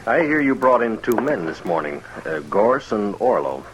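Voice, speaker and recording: A man's voice: fairly low pitch, sing-song, moderate pace. An actor playing a hesitant, earnest townsman in an old radio western. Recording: very noisy vintage radio recording.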